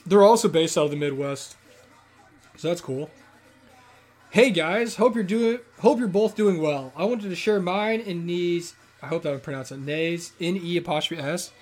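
Jokey man with a slightly high voice. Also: moderate pace, darkish tone. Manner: jokey, playful banter, stumbles over names. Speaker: podcast co-host. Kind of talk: casual podcast chat, reading emails aloud.

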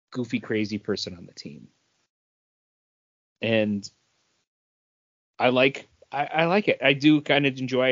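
A man's voice: mid-range voice, no room sound, dull audio. A man casually discussing books as a podcast co-host. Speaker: comic book podcast co-host.

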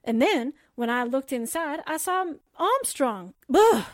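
A woman's voice: high-pitched, sing-song, slow, heavily acted. A woman playing a perky, loyal young assistant in a 1940s-style radio drama, with a cute, theatrical delivery. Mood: wry, dramatic.

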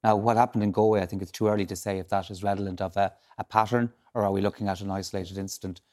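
An Irish man speaks quickly with a fairly low voice. He weighs events analytically and often restarts his sentences as a political journalist on a radio panel. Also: fairly even intonation, warm tone. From Ireland. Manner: measured, analytical, with frequent restarts.

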